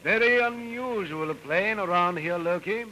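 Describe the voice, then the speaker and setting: A man's voice: high voice, moderate pace, sharp tone. An actor playing a pious, fearless middle-aged missionary father in an old radio serial, formal and theatrical, on a noisy vintage recording. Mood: curious. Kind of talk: 1930s radio serial acting.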